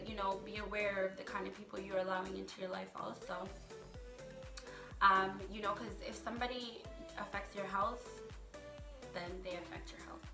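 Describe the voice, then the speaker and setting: A young adult woman vlogger talking casually and motivationally to her followers, chatty and candid, with emphatic turns of phrase. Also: mid-range voice. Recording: echoey room, music underneath. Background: music.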